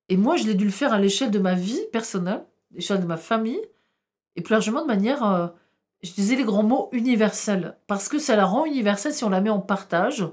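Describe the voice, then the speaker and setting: A woman's voice: mid-range voice, darkish tone. A middle-aged woman born in France whose first language was Arabic, speaking as a historian guest on a podcast interview, impassioned, with rhetorical questions and frequent hesitations.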